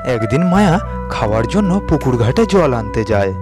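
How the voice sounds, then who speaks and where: A man narrating an animated moral story in a steady, measured storytelling manner. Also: medium pitch. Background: cartoon background music.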